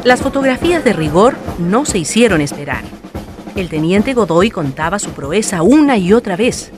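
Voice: medium pitch, big pitch swings, moderate pace, over music. Storyteller of a historical radio drama. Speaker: narrator.

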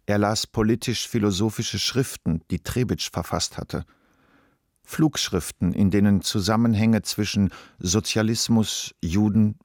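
A man's voice: on the low side, dry recording, clean studio sound. A man reading scripted literary narration as the narrator of a radio play.

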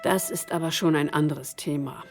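An actress playing a calm modern woman who reflects on history in a radio play. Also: deep voice, moderate pace, soft background music. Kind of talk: documentary radio play dialogue.

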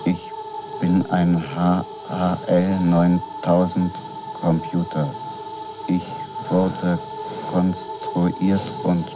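Sci-fi film computer, low monotone, extremely slow, polite, detached, music behind. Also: male voice, low-quality recording. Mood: formal, detached. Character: fading male spaceship computer, calm, polite, afraid. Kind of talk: dubbed science-fiction film acting. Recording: film soundtrack with music and hiss.

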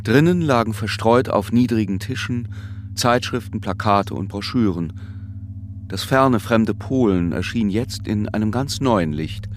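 An actor playing a sombre storyteller, giving measured, scripted literary narration in a radio play. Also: male voice, on the low side, slight background noise, natural voice. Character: sombre storyteller narrating a town's strange decline.

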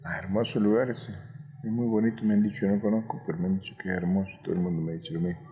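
A man speaks as a radio interviewer. His voice is fairly low and very mellow. He asks conversationally, thinking his questions through and rephrasing them as he goes. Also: steady tone, racing, faint music behind.

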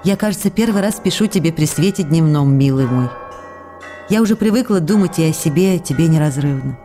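Casting: an eager, loving letter writer, soft-edged warm timbre, gentle and intimate, radio drama with music underneath. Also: female voice, deep voice, expressive, brisk. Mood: tender, longing. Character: affectionate, eager actress writing to her beloved.

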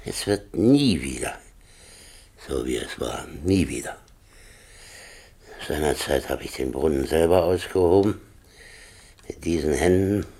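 An actor playing a stubborn, grumbling man in his seventies in a German radio play, curt and gruff with a rough old voice. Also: extremely low pitch, animated, measured pace, long pauses, mellow, exaggerated voice. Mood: gruff, bitter. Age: 70s.

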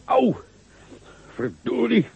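A young farmhand grumbles to himself in a radio play. He talks very slowly, in a gravelly, mellow voice. The recording sounds dull, with a faint hiss underneath. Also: very high-pitched, big pitch swings, full character voice. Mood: grumbling. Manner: grumbling, self-talking.

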